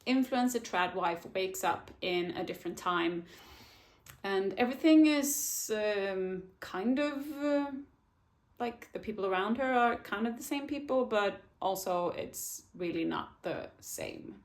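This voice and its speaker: A woman's voice: medium pitch, lively intonation, measured pace. A woman chatting casually to camera about her reading year, often hesitating and restarting her sentences. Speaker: book vlogger. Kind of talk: casual book talk to camera.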